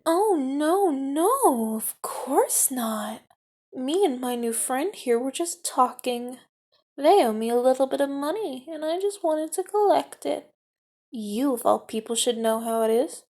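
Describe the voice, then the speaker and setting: A menacing street extortionist, played by a woman, taunting and mock-sweet in an audio roleplay. Her voice sits high yet sounds soft and mellow, drawn out slowly, sinking into threatening whispers. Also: animated, exaggerated voice. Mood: sneering. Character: menacing knife-wielding female street extortionist.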